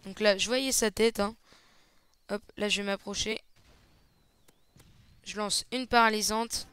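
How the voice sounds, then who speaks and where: A man giving casual, hesitant play-by-play commentary over a shooter match, slightly hoarse, with lots of fillers. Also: high voice, steady tone, measured pace, sharp tone, dry recording. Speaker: gaming channel host. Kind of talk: gameplay commentary.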